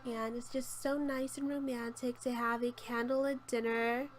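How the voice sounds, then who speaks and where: Hoarse woman with a flat, high voice. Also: moderate pace. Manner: gushing, chatty, with frequent ums. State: hoarse from a cold. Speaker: game channel host. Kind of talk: casual voice-over tour of a game build. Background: light background music.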